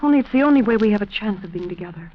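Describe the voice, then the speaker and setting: A woman's voice: mid-range voice, animated, racing, close to an everyday voice. A woman playing a devoted, clinging young American sweetheart of a thief in 1940s radio drama, breathless, pleading and emotional. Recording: muffled old 1940s radio recording with music.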